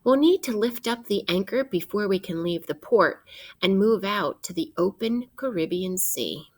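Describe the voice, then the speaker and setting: A woman teacher reading a children's nonfiction book aloud in an expressive, instructive way, addressing young listeners directly. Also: medium pitch, moderate pace.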